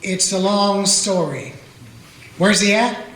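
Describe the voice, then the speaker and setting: A man's voice: high-pitched, slow pace, fairly bright tone, slight background noise. A man playing a plain-spoken small-town Western sheriff who also narrates, with folksy grammar. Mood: steady. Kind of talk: amateur radio play acting with narration. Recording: roomy echo.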